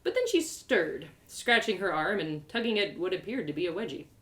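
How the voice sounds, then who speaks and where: A woman reading her fantasy story aloud in a theatrical, expressive first-person narration. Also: fairly low pitch, moderate pace. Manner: theatrical, animated delivery.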